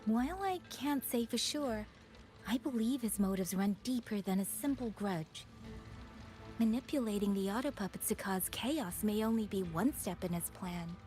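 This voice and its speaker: A woman plays a composed young strategist in a video game. Her medium-pitched voice is earnest and analytical, with a loyal, thoughtful air. Soft music sits behind her. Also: animated, normal speed, natural voice. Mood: thoughtful concern. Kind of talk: video game dialogue acting.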